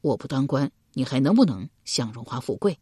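A woman's voice: extremely low pitch, sing-song, brisk, warm tone, breathy edge, dry recording, clean studio sound, full character voice. A woman storyteller playing a ruthless, ambitious husband from ancient times, domineering and coldly persuasive. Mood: coaxing.

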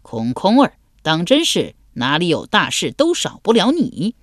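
A woman voicing a commanding, suspicious male general in an audiobook, gruff, sardonic and authoritative. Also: low-pitched, sing-song, brisk, dry recording, studio quality. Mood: commanding, scornful. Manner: barking, authoritative.

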